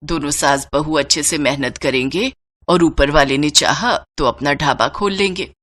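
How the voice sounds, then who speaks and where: Woman acting a weary, low-voiced elderly mother-in-law. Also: normal speed, a bit bright. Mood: tired, coaxing. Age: older. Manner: plaintive, earnest.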